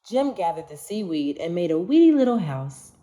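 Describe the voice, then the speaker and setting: A woman narrating a children's storybook aloud in an expressive, deliberate storytelling manner. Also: fairly low pitch.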